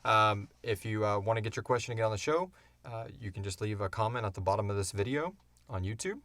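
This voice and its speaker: A man co-hosting a podcast, answering questions in casual, informal, halting talk. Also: on the low side, a bit bright, dry recording. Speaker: podcast co-host and show creator. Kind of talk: casual Q&A chat.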